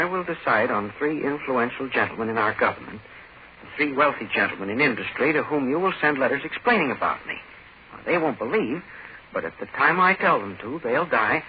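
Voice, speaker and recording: Menacing everyman played by a male actor, halting and insistent, drunk on deadly power, swooping lines, muffled vintage radio drama sound. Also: mid-range voice, lightly acted. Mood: gloating, commanding. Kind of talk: 1940s radio horror acting. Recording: muffled, noisy old 1940s radio recording.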